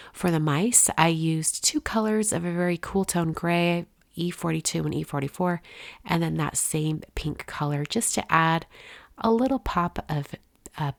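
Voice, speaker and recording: Low-voiced congested woman crafting host. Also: dry recording, clean studio sound. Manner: chatty, relaxed, explains step by step. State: congested from a head cold. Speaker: card-making channel host. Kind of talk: casual voice-over tutorial narration.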